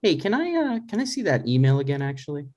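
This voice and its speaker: A man playing a skeptical grown son with a dry, halting delivery in a conversational comedy table read. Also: a little high, huge pitch swings, darkish tone.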